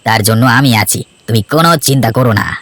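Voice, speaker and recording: A man's voice: medium pitch, quick pace, a bit bright, no room sound, close to an everyday voice. A man voicing a scheming, persuasive trader, the villain of a cartoon folk tale. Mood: scheming, coaxing. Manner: sly, coaxing, self-assured. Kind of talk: Bengali cartoon fairy-tale dialogue.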